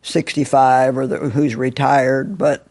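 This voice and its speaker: Older man, 70s, gravelly and a bit husky, frank minister type for talk-show chat. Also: medium pitch, flat delivery, measured pace, a little mellow. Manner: reflective, candid, frank storytelling with self-deprecating humor. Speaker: minister and author.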